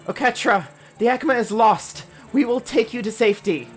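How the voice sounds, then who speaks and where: A woman's voice: fairly high pitch, normal speed, faint music behind, lightly acted. A woman playing a fierce, desperate vizier in an audio drama, calling out urgently and pleading.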